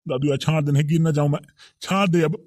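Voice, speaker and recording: A man's voice: a little high, steady tone, quick pace, darkish tone, no echo, studio quality, natural voice. A man voicing a timid, fretful village man in a comedy cartoon, with a Bundeli accent.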